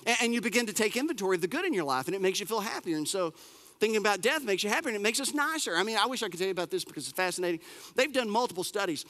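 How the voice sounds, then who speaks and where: A man, preaching a sermon as pastor, lively and emphatic with repeated phrases and casual asides. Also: high voice, racing, big-room sound. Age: 40s.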